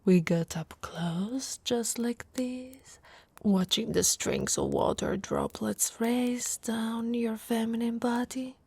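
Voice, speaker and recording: Woman voicing a slow-talking, affectionate orc warrior wife. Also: on the high side, steady tone, vocal fry, a little mellow, husky, no echo, exaggerated voice. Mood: tender, nostalgic. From Italy. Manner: intimate, teasing, unhurried, often dropping to a whisper. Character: brawny affectionate female orc warrior wife, playfully boastful. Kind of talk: ASMR roleplay.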